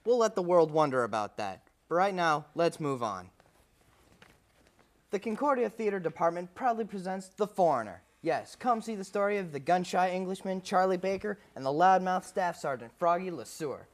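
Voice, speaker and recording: A young man co-hosting a college radio arts segment, with deadpan jokes in chat and reading event promos. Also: high voice, normal speed. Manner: dry, deadpan humor. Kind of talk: casual chat and read announcements.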